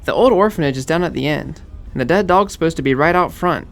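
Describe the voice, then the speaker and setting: A man's voice: on the high side, fast, vocal fry, faint music behind. A man playing a nerdy, know-it-all young fraternity pledge in an audio horror drama, explaining things eagerly. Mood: eager, helpful. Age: young adult.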